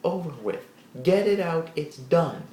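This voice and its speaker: A man giving a casual solo wrestling show review, rambling with restarts and animated asides in a deep voice. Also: unhurried, echoey room.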